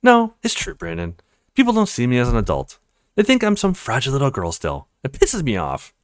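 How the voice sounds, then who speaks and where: A man's voice: mid-range voice, huge pitch swings, brisk, no echo, studio quality. A man voicing a petite young woman in a visual novel, earnest, insecure and blunt in her complaints. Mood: frustrated, hurt.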